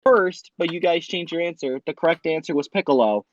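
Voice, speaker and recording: A man hosting a quiz game show, reading questions and ruling on answers in a brisk, formal way. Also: on the high side, no echo, highs cut off. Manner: brisk, formal quizmaster delivery.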